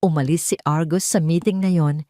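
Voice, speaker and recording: A Filipino woman narrates a dramatic audio story from a script. Her voice sits a little low, and the recording is very dry, with no room around it. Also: steady tone, normal speed, warm tone, no room sound.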